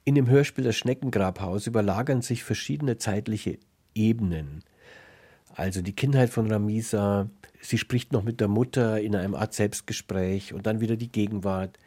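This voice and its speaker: Mellow-voiced male radio interviewer. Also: lowish voice, moderate pace, husky. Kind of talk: reflective literary interview.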